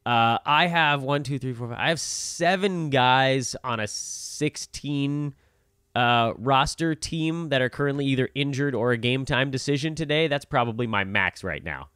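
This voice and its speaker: A man's voice: medium pitch, moderate pace, bright, forceful tone, smooth, no echo, studio quality. A man co-hosting a casual sports chat show, talking in a joking, self-deprecating way. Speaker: podcast co-host. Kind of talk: casual fantasy basketball chat.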